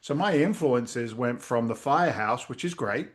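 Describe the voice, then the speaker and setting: A middle-aged man, a real estate investor telling stories in a casual interview over a video call, lively and blunt, acting out voices. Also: medium pitch, moderate pace. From Massachusetts. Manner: animated storyteller, acts out quoted voices, swears casually.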